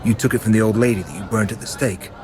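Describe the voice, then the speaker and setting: A low-voiced, commanding male inquisitor from a grim medieval game acted by a man. He speaks in a measured, authoritative way with menace in it. Prominent music plays behind him. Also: a crowd in the background, close to an everyday voice. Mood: cold, menacing. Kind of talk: video game cutscene acting.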